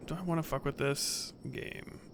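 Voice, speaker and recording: A man's voice: on the high side, vocal fry, noisy background. Profane grumbling male game streamer. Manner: profane, grumbling, talking to himself. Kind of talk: casual gameplay commentary.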